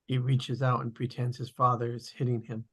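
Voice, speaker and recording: Male narrator for table read: gravelly fry, raspy tone, scripted stage directions. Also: mid-range voice, level tone, normal speed, fairly bright tone.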